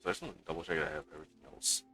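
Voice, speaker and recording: A man chatting casually during a live let's play, muttering to himself in halting bursts. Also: extremely low pitch, pushed and bright, soft background music. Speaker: game streamer.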